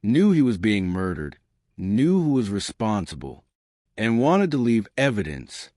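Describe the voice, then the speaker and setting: A wistful, faded screen actress from an audiobook mystery, as read by a male narrator. She speaks dramatically, with an animated pitch. The recording is dry. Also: unhurried, no room sound. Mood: speculating. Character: Vivian, wistful faded film actress, clinging to her past.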